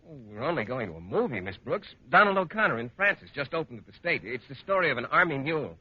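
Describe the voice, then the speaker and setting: An actor playing a mild, naive, polite gentleman in 1940s radio comedy. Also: mid-range voice, sing-song, fast, breathy edge, no echo. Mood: sheepish. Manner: earnest, polite, hesitant. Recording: dull vintage radio recording.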